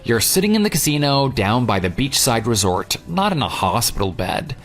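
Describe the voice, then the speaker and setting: Male actor as bitter ex-fiancé, smug and taunting, lively swings in pitch, for an audio drama. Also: normal speed, faint music behind. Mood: accusing. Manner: sarcastic, taunting. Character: vengeful jilted ex-fiancé, sarcastic and coldly smug. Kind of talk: dramatized phone dialogue.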